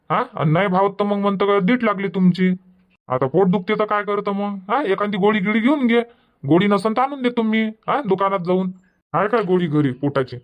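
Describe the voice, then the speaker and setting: A man's voice: high-pitched, muffled recording, close to an everyday voice. A man voicing a practical middle-aged village husband in a dubbed cartoon, lecturing and scolding.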